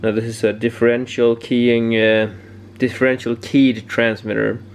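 A man giving a casual, unscripted hobby demonstration, haltingly searching for words, in a home workshop. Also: medium pitch, level tone. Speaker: amateur radio hobbyist presenting homebrew project. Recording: clear background noise, room ambience.

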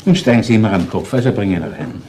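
An actor playing a world-weary, shrewd senior police inspector in a radio crime drama, dry and placating, with a Viennese accent. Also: male voice, lowish voice, big pitch swings, quick pace, mellow, slight background noise. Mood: bored, wry.

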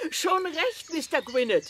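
A woman's voice: very high voice, sharp tone, a little hiss or hum, heavily acted. An actress playing a spirited, hardy old mother in a German audio drama. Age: older.

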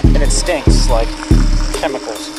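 A woman's voice: a bass voice, a little slow. A woman narrating a casual outdoor vlog by a storm drain channel, exclaiming in disgust as she points things out. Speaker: urban explorer vlogger. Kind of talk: casual walk-and-talk narration. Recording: loud music over the voice.

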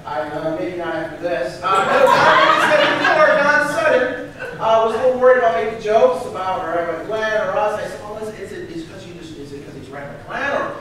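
Young man, high voice, roast jokes, banquet hall, far camera. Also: lively intonation, fairly quick, very noisy. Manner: self-mocking joke delivery. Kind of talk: stand-up roast routine. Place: large banquet hall, standing before seated crowd. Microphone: far room camera. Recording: echoey hall from far off, crowd.

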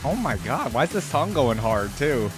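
A man's voice: mid-range voice, very animated, moderate pace. Casual young man reacting over music. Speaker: reaction video host. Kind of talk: casual live reaction chat to viewers. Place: small indoor home streaming setup. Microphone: close desk microphone. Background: electronic music.

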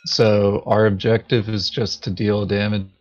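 A man playing a board game, talking casually in terse, deadpan remarks. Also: lowish voice, measured pace. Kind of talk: casual gaming chat. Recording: dull, lo-fi voice chat microphone.

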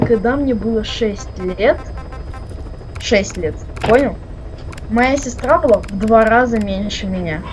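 High-voiced woman, insistent and teasing. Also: a little slow, soft background music, poor audio. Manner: teasing, insistent, repeats herself. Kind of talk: casual chat while gaming.

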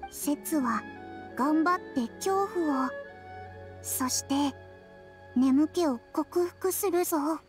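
A woman's voice: high voice, drawn-out words, long pauses, soft background music. A woman voicing a sleepy, earnest little ninja girl in an anime game, with a drowsy delivery. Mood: sleepy, determined. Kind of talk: Japanese anime game voice acting.

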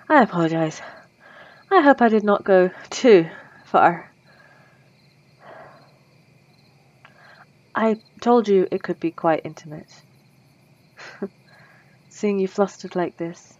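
A woman voicing a refined, possessive female vampire centuries old, speaking in a formal, old-fashioned way in a soft, intimate ASMR roleplay. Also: fairly low pitch, lo-fi sound. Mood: sultry, possessive.

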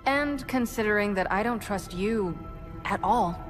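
A woman's voice: mid-range voice, a little slow, over music, lightly acted. A woman playing a defiant, sardonic young soldier in a video game. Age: young adult. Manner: dry, defiant, sarcastic. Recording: loud game score behind.

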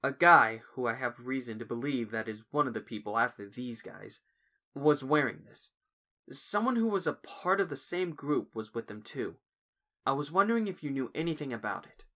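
A man playing a bold, perceptive young male investigator in an animated fan audio drama, probing and theatrical. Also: mid-range voice, moderate pace. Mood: inquisitive. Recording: loud music under the voice.

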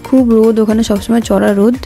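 A woman's voice: on the high side, steady tone, fast, gravelly, warm tone, faint music behind. A woman vlogger, giving a casual voice-over narration in short phrases.

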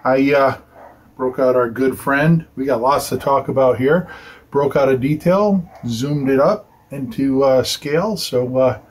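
A man in his fifties explains a building detail in a relaxed, chatty way. He thinks out loud and tosses in "right?" as he goes. His voice is mid-pitched with a husky edge, recorded in a small curtained room. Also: unhurried. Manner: chatty and informal, thinking aloud with fillers and tag questions. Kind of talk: casual technical explainer.

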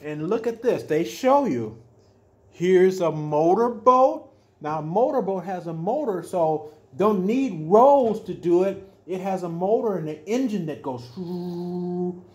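Older guy reading a bedtime book to kids, really unhurried, explains stuff simply, stretches words out. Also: sits high, a little mellow. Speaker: storyteller reading a children's picture book. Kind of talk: read-aloud bedtime story for children with explanatory asides. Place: small furnished room at home, indoors.

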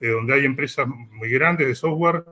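A middle-aged man speaking off the cuff on an online panel in halting, broken-up sentences. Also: mid-range voice, pushed and bright. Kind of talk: unscripted panel talk. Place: indoor room, video call.

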